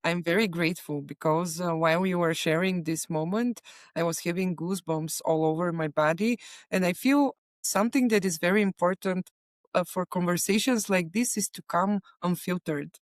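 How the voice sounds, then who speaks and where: A woman from Bulgaria, a plant medicine facilitator, speaking as an interview guest in a soft, earnest way with frequent pauses and fillers. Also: on the low side, dry recording, studio quality. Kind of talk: casual interview talk.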